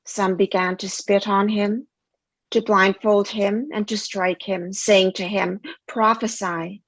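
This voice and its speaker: A woman's voice: mid-range voice, little pitch movement, slow, no echo. A woman reading scripture aloud as a church member, in a scripted narrative style.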